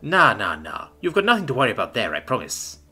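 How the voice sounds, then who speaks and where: Male reader doing brash young giant, loud, crude, bright and lively. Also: medium pitch, fast talker, husky, full character voice. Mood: reassuring. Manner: loud, eager, crude joking. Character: huge, boisterous young man, the hero's son.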